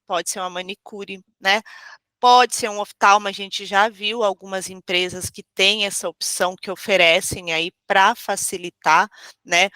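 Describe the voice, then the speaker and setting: A woman's voice: medium pitch, bright, forceful tone, no room sound. A Brazilian woman with a cold, hosting a live webinar as a trainer in a friendly, informal, explanatory way. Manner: friendly, informal, explanatory with frequent check-ins. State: has a cold. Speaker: webinar host and software trainer.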